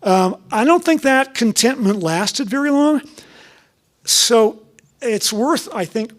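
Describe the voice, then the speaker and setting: A man giving a public lecture and taking audience questions, talking in a halting way with many restarts and ums. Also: very high voice, lively intonation. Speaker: guest lecturer.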